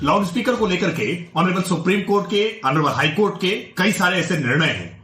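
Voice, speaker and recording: Casting: male political spokesman, higher pitch, formal emphatic delivery, echoey sound. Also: animated, a little fast, a bit bright. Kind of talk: prepared political statement. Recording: echoey room, faint music.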